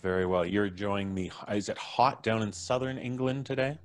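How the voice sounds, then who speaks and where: A man's voice: lowish voice, normal speed. A man in his fifties hosting a live stream, introducing guests in a genial showman's manner. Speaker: host. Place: indoor room, on a video call. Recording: soft music playing behind. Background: background music.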